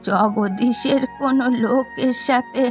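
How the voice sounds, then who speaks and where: A woman's voice: a little high, a little slow, faint music behind, lightly acted. A woman voicing a poor, aging, anxious widowed village mother in a fairy-tale cartoon, pleading and sometimes tearful.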